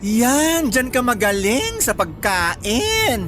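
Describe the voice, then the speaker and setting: A woman's voice: fairly high pitch, sing-song, slow. An actress playing an exasperated young woman scolding her best friend, sarcastic and insistent, with a Filipino accent, in a radio drama. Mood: nagging. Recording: music bed under the dialogue.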